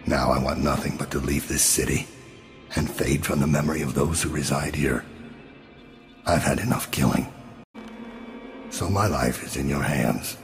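A man playing a brooding, world-weary middle-aged assassin in a video game, with a gravelly voice and a grim, deliberate, menacing manner. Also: rumbling low. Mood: weary, remorseful. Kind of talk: video game voice acting, monologue and combat lines. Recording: long reverb, music underneath.

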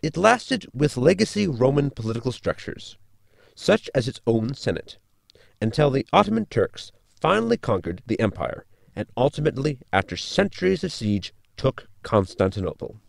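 Man acting a very creaky, pedantic robot crewmate. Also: mid-range voice, very animated, moderate pace, dry recording, clean studio sound. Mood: lecturing. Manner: pedantic, explanatory. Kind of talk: sitcom audio drama acting.

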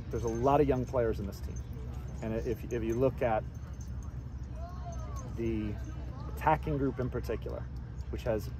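Brief: middle-aged male coach, even mid pitch, measured answers with restarts, outdoor street hum behind. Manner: measured, repeats words while thinking. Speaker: head soccer coach. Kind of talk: postgame press interview. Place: outdoors by a stadium track at night.

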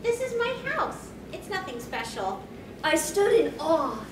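A young woman hosting a panel, reading comic fan fiction aloud in a theatrical, halting way. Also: sits high, sing-song. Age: young adult. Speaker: cosplay panel host. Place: convention panel room stage, indoors. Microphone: far camera. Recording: distant, echoey panel room, loud noise, crowd.

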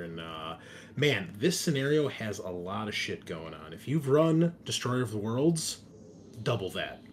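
A man's voice: fairly low pitch, slight background noise. A man hosting a tabletop game in casual chat, joking and swearing freely as game master.

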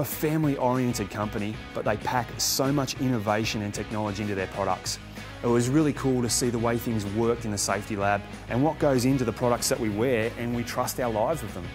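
A man's voice: mid-range voice, a little fast. A man presenting to camera, enthusiastic and conversational. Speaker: motorcycle magazine presenter. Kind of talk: talking to camera. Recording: music playing under the voice.

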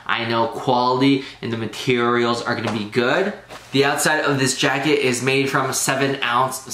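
A man's voice: medium pitch, a bit bright. A man in his thirties giving a casual, upbeat product review to camera, often putting questions to his viewers. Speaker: menswear review vlogger. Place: small bare room at a desk, indoors. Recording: roomy echo with clear background noise.

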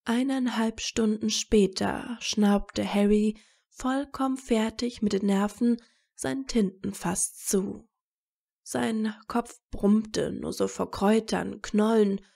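A woman's voice: medium pitch, vocal fry, mellow. A woman narrating a scripted story.

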